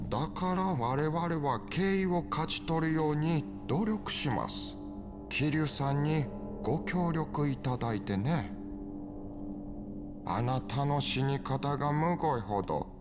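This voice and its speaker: An actor playing a polite but menacing triad boss in a video game, speaking with formal, cold courtesy. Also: male voice, a little high. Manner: formal, courteous, coldly threatening. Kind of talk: dramatic video game acting. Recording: music behind.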